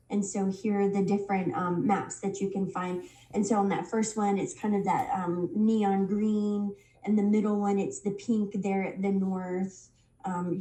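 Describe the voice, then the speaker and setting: A woman getting over a head cold teaches a Bible study in a casual, halting way, with plenty of ums. Her voice is mellow and dark. She sits back from the mic, and the room rings around her. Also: mid-range voice, reverberant, recorded from a distance, low-quality recording. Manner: unhurried, many ums and restarts.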